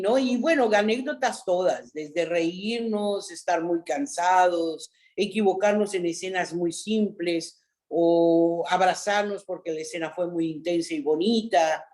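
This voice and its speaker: A middle-aged woman with a Mexican accent, an actress chatting as an interview guest, telling stories in an animated way and often adding a questioning "¿no?". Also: lowish voice. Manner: animated storyteller, frequent "¿no?" tags. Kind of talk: casual interview chat.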